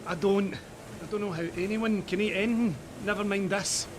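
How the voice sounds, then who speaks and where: An actor playing an irritable, agitated young soldier in an audio drama. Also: male voice, high voice, steady tone, normal speed, close to an everyday voice. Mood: irritable, strained. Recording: clear background noise.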